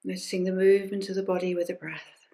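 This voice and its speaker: A woman leading a guided meditation as a teacher, speaking gently and unhurriedly in short phrases with pauses and soft invitations. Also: on the low side, little pitch movement. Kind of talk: guided body scan meditation. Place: recorded during an online session.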